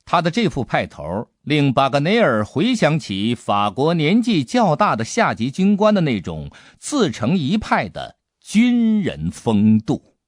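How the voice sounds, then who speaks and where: A man's voice: fairly high pitch, huge pitch swings, a little slow, dry recording, clean studio sound. A man reading an adventure novel aloud as a scripted audiobook narration.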